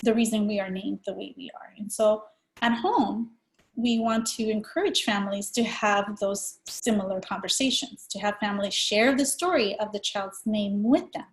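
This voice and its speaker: A woman's voice: mid-range voice, moderate pace, poor audio. A woman in her forties from El Salvador, giving an early-education training presentation in an explanatory, personal manner.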